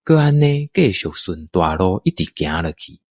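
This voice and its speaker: A man's voice: low-pitched, big pitch swings, normal speed, darkish tone. A man with a Taiwanese accent narrating a scripted adventure story.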